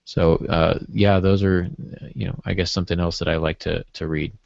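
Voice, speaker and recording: A man co-hosting a podcast, discussing literature in a measured, halting, reflective way. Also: low-pitched, level tone, creaky, darkish tone, dry recording, lo-fi sound. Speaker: podcast co-host.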